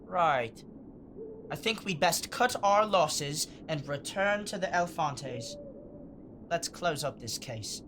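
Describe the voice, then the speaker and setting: An actor playing a clever young male street-gang leader who talks in quick, earnest deductions. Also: on the high side, animated, noisy background. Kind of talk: audio drama acting.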